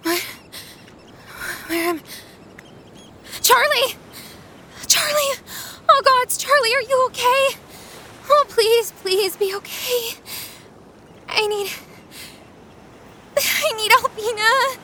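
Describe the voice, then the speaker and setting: Very high-voiced, tender, anxious adventurer. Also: female voice, lively intonation, bright, forceful tone, slight background noise, exaggerated voice. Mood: frightened. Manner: halting, anxious, caring. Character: tender, guilt-ridden young female fantasy adventurer. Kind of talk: audio fiction drama acting.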